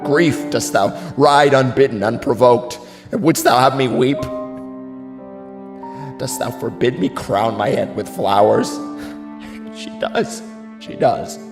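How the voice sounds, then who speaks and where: A man's voice: mid-range voice, takes it slowly, long pauses. An actor playing a grief-stricken, wavering drunken nobleman, lamenting theatrically in a classical tragedy. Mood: anguished weeping. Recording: long hall reverb, music underneath.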